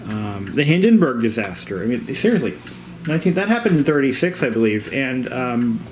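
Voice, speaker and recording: A man narrating a vintage radio repair in a casual, rambling, halting and plain-spoken way, as a hobbyist vlogger. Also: medium pitch, sing-song, a little mellow. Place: indoors in a home. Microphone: handheld camera, operator behind it. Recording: clear background room noise.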